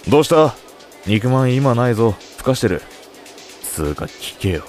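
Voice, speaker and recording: A man's voice: medium pitch, very animated, moderate pace, darkish tone, faint music behind, natural voice. A man playing a blunt, lazy young male store clerk and student in a comic audio drama, grumbling casually. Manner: casual, rough, listless grumbling.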